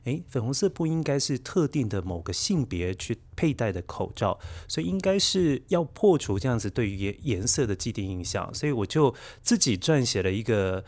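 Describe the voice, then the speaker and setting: A man in his forties with a Taiwanese Mandarin accent, speaking thoughtfully as an interview guest and explaining with gentle emphasis. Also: mid-range voice, sing-song, a little fast, hardly any pauses. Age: 40s. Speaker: guest, children's story podcaster. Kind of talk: reflective interview talk.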